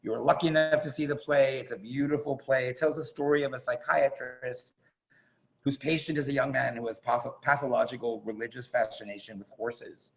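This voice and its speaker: Gravelly-voiced man hosting a theatre evening. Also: mid-range voice, steady tone, brisk. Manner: effusive, chatty. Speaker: host of a staged reading event. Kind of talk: informal stage introductions. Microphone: video call. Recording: dull, muffled video-call audio.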